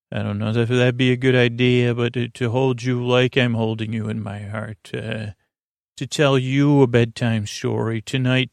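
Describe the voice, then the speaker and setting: Creaky-voiced man rambling a bedtime monologue. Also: medium pitch, dry recording, clean studio sound. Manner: meandering, halting, self-interrupting. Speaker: host of a bedtime sleep podcast.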